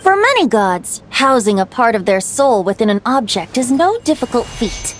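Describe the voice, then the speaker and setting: A woman's voice: on the high side, very animated, normal speed, a little hiss or hum. A woman voicing a playful young male bard who tells tales in a lilting, lyrical way. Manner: lilting, teasing, then lyrical storytelling. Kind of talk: video game voice acting.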